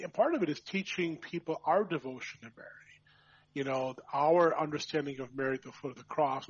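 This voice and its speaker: Priest, man, husky and slightly high, reflective podcast talk, dry dull recording. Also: no echo. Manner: reflective, with frequent restarts and fillers. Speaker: priest and vocation director. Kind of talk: conversational podcast chat.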